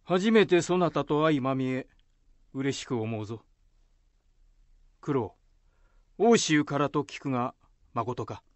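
A man's voice: medium pitch, measured pace, pauses often, no room sound, natural voice. A man acting in a Japanese radio drama as a dignified, composed samurai commander, with lordly, archaic speech. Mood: dignified, approving.

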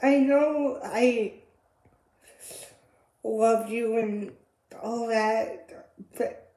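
Weary mother played by a woman, apologetic yet defensive, very slow delivery, roomy amateur roleplay recording. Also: on the high side, mellow. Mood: hesitant, sorrowful. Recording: roomy, echoing home recording.

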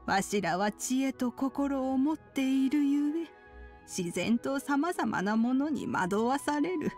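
Slow-speaking, wise old lady. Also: a little high, darkish tone. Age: elderly. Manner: measured, old-fashioned elder speech. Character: wise, gentle old woman, mentor with ancient memories. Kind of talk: Japanese game voice acting.

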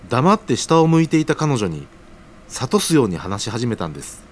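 A man's voice: medium pitch, big pitch swings, moderate pace. A man acting an earnest, humble young junior worker in an audio drama, speaking casually but deferentially. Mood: sincere, respectful. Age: young adult.

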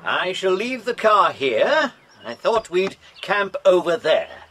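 Kindly English gentleman, slow and swooping. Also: high voice, very animated, slight background noise, full character voice. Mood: genial. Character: kindly absent-minded middle-aged man, teacher leading children camping. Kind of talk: children's audio drama acting.

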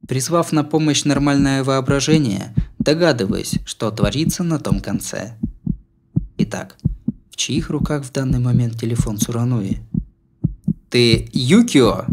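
A man's voice: medium pitch, expressive, unhurried, darkish tone. A man reading a novel aloud as an audiobook narrator, in a dramatised scripted style. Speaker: audiobook reader.

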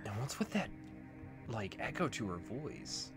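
A man's voice: fairly low pitch, wildly swooping pitch, a little slow, creaky. A man giving casual commentary as he plays through a video game. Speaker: Let's Play commentator. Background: game music.

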